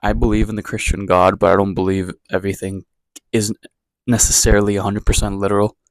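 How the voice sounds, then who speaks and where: A young man from Ecuador with a somewhat low voice talks straight to the camera in a casual daily vlog. He rambles, circles back and pokes fun at himself, keeping the tone candid and unpolished. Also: flat delivery, brisk. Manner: rambling, self-deprecating, candid. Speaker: vlogger. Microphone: camera mic, talking to camera.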